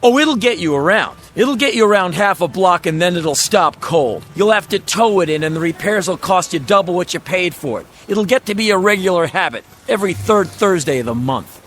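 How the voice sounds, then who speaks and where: Folksy, high-voiced used-car salesman. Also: animated, fairly quick, few pauses, a bit bright, faint music behind. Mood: blunt, exasperated. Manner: folksy sales patter, flustered, blunt. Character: fast-talking used-car salesman, helplessly blurting the truth. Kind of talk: old-time radio drama acting.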